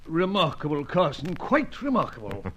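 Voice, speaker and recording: An actor with an upper-class British accent playing a cynical, cautious gentleman colleague in an old radio drama. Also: on the high side, wildly swooping pitch, normal speed, slight background noise. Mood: bemused.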